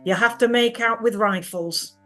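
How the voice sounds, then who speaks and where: An actress playing a wry, careful underground weapons smuggler in a stage drama, terse and matter-of-fact. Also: female voice, fairly high pitch, moderate pace, a bit bright, close to an everyday voice. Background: faint music.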